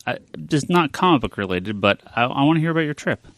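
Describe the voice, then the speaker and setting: A man's voice: medium pitch, lively intonation, quick pace, a bit bright, dry recording. A man hosting a podcast in a casual, joking, bantering way. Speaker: podcast host.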